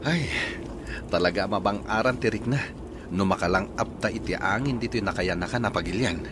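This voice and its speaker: A man's voice: fairly low pitch, a little fast, background noise, close to an everyday voice. An actor with an Ilocano accent playing a stressed grown son in a radio drama who insists and pleads with his mother. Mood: insistent.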